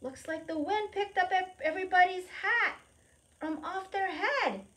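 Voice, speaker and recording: A woman presenting a preschool storytime, reading aloud and explaining in simple, expressive phrases with questions for young children. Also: very high voice, a little slow.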